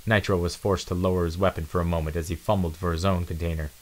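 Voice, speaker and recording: A man's voice: low-pitched, flat delivery, fast. A man giving a dramatic scripted reading of an adventure storybook as narrator.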